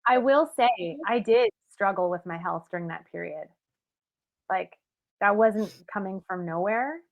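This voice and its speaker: A woman's voice: mid-range voice, a little slow. A woman speaking candidly and self-mockingly in a casual chat, with many fillers. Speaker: guest reacting to her old video. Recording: tinny phone-like call audio.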